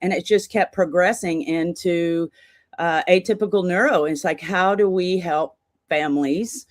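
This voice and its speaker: A woman's voice: lowish voice, measured pace, no echo, clean studio sound. A woman in her sixties, a guest on a podcast, telling her story earnestly in long run-on sentences. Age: 60s. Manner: earnest, talks in long run-on stories with laughter. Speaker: podcast guest, charity founder. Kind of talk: casual interview storytelling.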